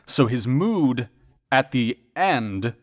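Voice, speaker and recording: A man's voice: medium pitch, lively intonation, very slow. A man who works as a voice actor and editor on a podcast, chatting casually and reflectively in a rambling storyteller's way. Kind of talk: casual reflective chat about acting work.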